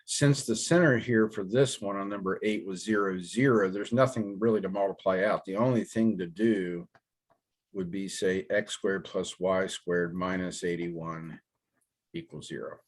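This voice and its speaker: A very low-pitched man's voice, raspy and hoarse from a sore throat. He teaches math step by step, pausing often with ums and clearing his throat. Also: fairly even intonation. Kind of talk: classroom lecture walkthrough.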